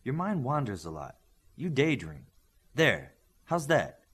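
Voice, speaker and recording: A man's voice: medium pitch, wildly swooping pitch, slow, fairly bright tone, slightly rough, pitched above the performer's own voice, full character voice. A man voicing a confident young superpowered girl in an audiobook. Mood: breezy.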